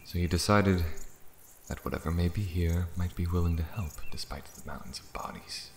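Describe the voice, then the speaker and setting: Very deep ancient dragon, voiced by a man, echoing. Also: slight background noise. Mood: calm, wry. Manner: slow, deliberate, theatrical, breathy near-whispers at times. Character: ancient aloof dragon in elven-looking male mortal form, amused, proud. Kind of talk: ASMR fantasy roleplay. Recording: roomy echo, faint nature ambience with crickets.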